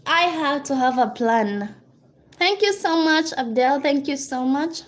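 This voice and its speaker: High-voiced Kenyan woman chatting on a livestream. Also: a little slow. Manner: chatty, reads and answers viewer comments, thanks viewers by name. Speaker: livestream host. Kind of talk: casual livestream chat.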